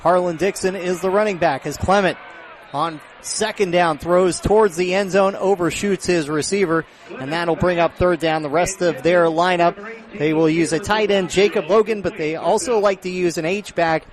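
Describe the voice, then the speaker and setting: An American man doing live radio play-by-play of a football game, projecting his voice and getting excited on big plays. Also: high-pitched, moderate pace. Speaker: play-by-play announcer. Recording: live outdoor stadium broadcast, background noise.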